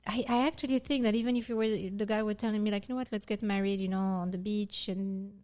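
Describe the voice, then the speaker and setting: A woman's voice: medium pitch, steady tone, quick pace, a little mellow. A woman from Morocco co-hosting a casual podcast chat, laughing often and restarting her sentences. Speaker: podcast co-host.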